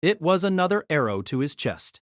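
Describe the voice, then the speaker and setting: A narrator reading a novel aloud in an expressive storytelling manner. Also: mid-range voice, a little fast, no room sound, studio quality.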